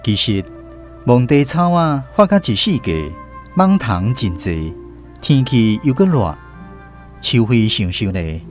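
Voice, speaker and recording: Expressive Taiwanese male narrator. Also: medium pitch. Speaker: radio drama narrator. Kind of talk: scripted storytelling narration. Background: acoustic guitar music.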